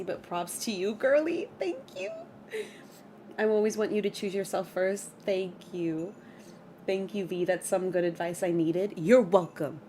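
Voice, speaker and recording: A woman's voice: lowish voice, slight background noise. Chatty streamer woman in her twenties. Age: 20s. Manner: chatty, animated, reacts with gasps and laughs. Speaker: livestreamer. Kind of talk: casual chat while gaming, reading chat aloud.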